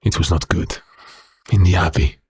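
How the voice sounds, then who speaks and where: An actor playing a bitter, haunted werewolf prisoner in an audio drama, speaking in a slow, brooding, halting way with a rough voice. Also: male voice, low-pitched, steady tone, darkish tone, no echo. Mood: haunted sorrow. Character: bitter haunted male werewolf prisoner recounting his past.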